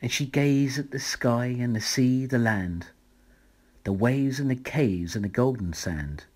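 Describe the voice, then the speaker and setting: A man reading a rhyming children's picture book aloud as a bedtime story, in a measured, dramatic way. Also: mid-range voice, darkish tone.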